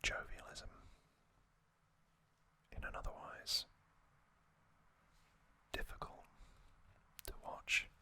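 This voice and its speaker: An ASMR host whispering a recap in a breathy, unvoiced voice, in short soft phrases with long pauses. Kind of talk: whispered ASMR narration recapping an anime series.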